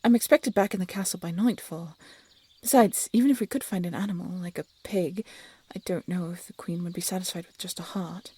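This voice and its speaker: A woman playing a blunt, sarcastic young huntress, a soft-hearted failed assassin, in an audio roleplay drama. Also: lowish voice, a little fast, husky. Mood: wry, grudging.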